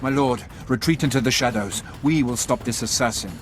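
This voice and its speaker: A man's voice: mid-range voice, fairly quick, faint music behind, close to an everyday voice. Man acting defiant, loyal Sith guard. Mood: urgent. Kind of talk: video game cutscene acting.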